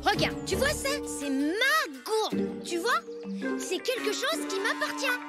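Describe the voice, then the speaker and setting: A very high, childlike voice as an earnest, emotional little cave boy in a kids' cartoon. The delivery is expressive, pleading and scolding. Music plays prominently underneath. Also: takes it slowly, exaggerated voice. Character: earnest, emotional young prehistoric boy with a pet dinosaur. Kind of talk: animated children's cartoon acting. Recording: music bed under the dialogue.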